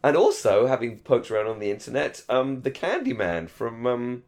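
A man's voice: mid-range voice, big pitch swings, moderate pace, a little mellow. A middle-aged man co-hosting a casual discussion podcast, thinking aloud in a rambling, hesitant way with many false starts. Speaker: podcast co-host. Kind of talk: casual discussion of a radio drama.

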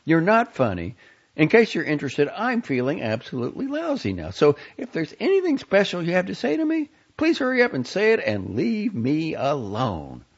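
A man's voice: sits high, huge pitch swings, fairly quick, breathy edge, voice pushed up, full character voice. A man reading aloud and voicing a young woman on the phone who is exhausted and exasperated. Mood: pleading. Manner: pleading, exasperated. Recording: dull lo-fi audio.

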